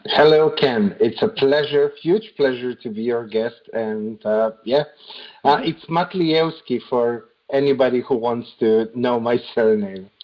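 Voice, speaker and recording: A man from Slovenia with a Slovenian accent answers casually as a guest, often repeating words as he explains. His voice is mid-pitched and comes through a dull, lo-fi online call. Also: a little slow. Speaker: podcast guest.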